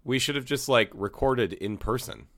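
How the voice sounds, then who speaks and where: Slightly hoarse young man hosting a podcast. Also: mid-range voice, lively intonation, a bit bright, no room sound. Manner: halting, many fillers and restarts. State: a little hoarse. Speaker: podcast host. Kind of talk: casual movie podcast chat.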